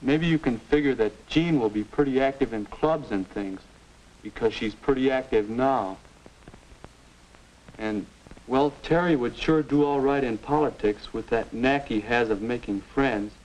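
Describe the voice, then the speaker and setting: A young man with an American accent playing a sullen, defensive high school boy with no aim, grumbling in a 1950 instructional film. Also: unhurried, darkish tone, close to an everyday voice. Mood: grumbling, pondering. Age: young adult. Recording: noisy, clicking 1950 film soundtrack.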